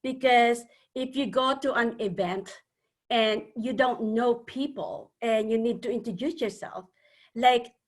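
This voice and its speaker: A woman from the Philippines with a Filipino accent, speaking earnestly as a webinar panel speaker and often pausing on fillers. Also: on the high side. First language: a Philippine language. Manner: earnest, hesitant with many fillers. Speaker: panel speaker, networking organizer.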